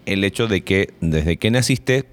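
Lower-voiced joking male co-host. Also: lively intonation, unhurried. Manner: joking, laughs often, explanatory. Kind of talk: casual conversational discussion.

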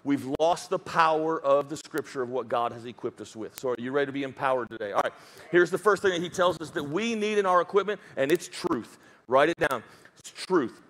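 A man's voice: on the high side, lively intonation, brisk, a bit bright, poor audio. A man with a Southern US accent preaching a sermon as pastor, emphatic and dropping joking asides.

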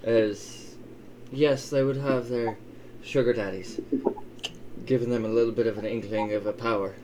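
A man voicing a gruff, doting old elven father who swears and teases in an improvised tabletop roleplay. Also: medium pitch, fairly even intonation, moderate pace, darkish tone, lightly acted. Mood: grave warning. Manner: wry, teasing, swearing. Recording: clearly noisy, ambient sound behind.

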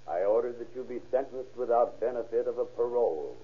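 An actor playing a stern judge, solemn and authoritative, in an old radio courtroom drama. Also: male voice, mid-range voice, brisk, slightly rough, close to an everyday voice. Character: stern, solemn male judge passing sentence. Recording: dull, band-limited old radio recording.

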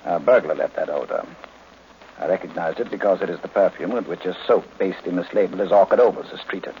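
An actor playing a pompous, imperious, sardonic genius detective in an old-time radio drama, with precise diction and easy irritation. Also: male voice, deep voice, quick pace, lightly acted. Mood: lofty, assured. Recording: muffled, noisy old-time radio recording.

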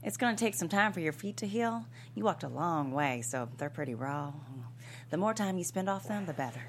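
Woman playing low-voiced, kindly small-town nurse. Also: lively intonation, fairly quick. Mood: kindly matter-of-fact. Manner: gently reassuring. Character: kind, apologetic small-town nurse, woman in her forties. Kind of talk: table read of a comedy pilot script. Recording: faint hum and background noise.

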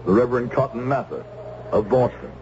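An actor playing a stern, righteous Puritan reverend in an old-time radio drama, speaking in formal, archaic, moralizing phrases. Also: male voice, medium pitch, a little fast, darkish tone, natural voice. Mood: grave, firm. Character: stern zealous Puritan reverend, righteous witch-hunting preacher. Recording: muffled, noisy old radio broadcast.

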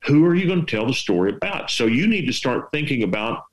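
A man's voice: medium pitch, sing-song, quick pace. A man from West Texas with a Texas accent, giving folksy, rambling storytelling advice full of rhetorical questions. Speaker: veteran storyteller and artist giving writing advice. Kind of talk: casual advice chat.

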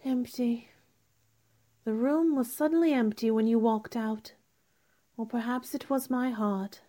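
A woman playing a proud, sharp-tongued young gentlewoman in a radio drama, with formal, cool, dramatic delivery. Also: fairly high pitch, unhurried, close to an everyday voice. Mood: wistful, guarded.

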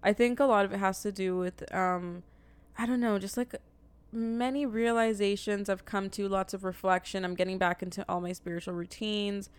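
A woman's voice: mid-range voice, fairly even intonation, normal speed, smooth, dry recording. A woman co-hosting a casual podcast chat, direct and teasing, sometimes reflective. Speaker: podcast co-host.

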